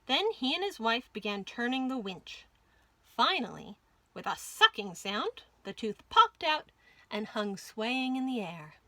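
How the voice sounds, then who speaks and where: A woman's voice: fairly high pitch, sing-song, a little slow, bright, forceful tone, dry recording. A woman narrating a children's story expressively as a storytime host.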